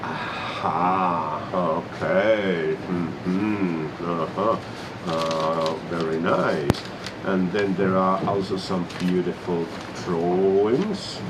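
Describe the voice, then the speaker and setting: A man whose first language is Finnish, speaking English with a Finnish accent in a Santa Claus role, talking slowly with many fillers. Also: deep voice, fairly even intonation. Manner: slow, hesitant, with many fillers. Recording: noisy room ambience.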